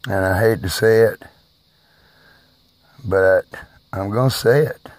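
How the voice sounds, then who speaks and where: Slow, low-voiced man musing aloud. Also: no room sound. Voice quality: hard of hearing, speaks raised at times. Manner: slow, halting, emphatic delivery. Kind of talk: personal video monologue.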